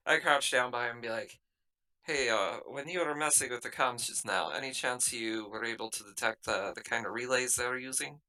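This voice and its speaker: Male tabletop player, strikingly bright and forward voice, casual talk moving in and out of character. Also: mid-range voice, brisk. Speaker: roleplaying game player, playing the captain.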